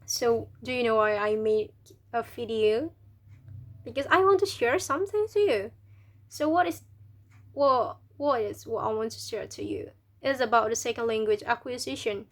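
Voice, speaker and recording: A woman's voice: a little high, normal speed. Chatty young woman with an Indonesian accent. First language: local Indonesian language. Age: young adult. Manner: chatty, hesitant, with many restarts and searching for words. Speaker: university student. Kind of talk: casual video talk to camera.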